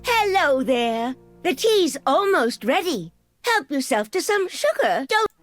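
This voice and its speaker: A whimsical little granny creature from a video game, very high in pitch and full of melodic swoops. She is doting and hospitable, a touch forgetful, and music plays behind her. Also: very animated, takes it slowly, no room sound, heavily acted. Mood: warm, welcoming. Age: older. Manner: gentle, doting, hospitable, quaint. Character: kindly, forgetful, whimsical grandmotherly yordle lady who loves tea. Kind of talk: video game character voice lines.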